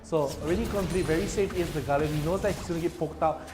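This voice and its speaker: A man's voice: a little high, very fast, music in the background. A young man casting live esports, chatty and slangy, pushing viewers to join in. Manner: chatty, slangy, promotional asides. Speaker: esports caster.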